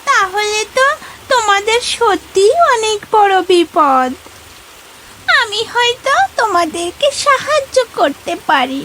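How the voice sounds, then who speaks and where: A woman voicing a small, gentle, kindly cartoon fish that speaks in a coaxing way. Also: very high-pitched, expressive, takes it slowly, a little hiss or hum, heavily acted, low-quality recording. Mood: kind, concerned. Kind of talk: cartoon voice acting.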